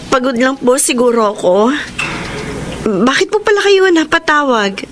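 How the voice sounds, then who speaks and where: A woman's voice: high voice, sing-song, moderate pace, background noise. An actress with a Filipino accent playing a troubled, hesitant young woman in an emotional radio drama. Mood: nervous, evasive. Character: troubled young pregnant woman, anguished and reluctant.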